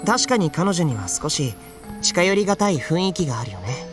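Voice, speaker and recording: A principled, gentle schoolboy from a Japanese visual novel. His delivery is soft and sincere, rather high and very rapid, with lively melody. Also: soft background music. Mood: earnest conviction.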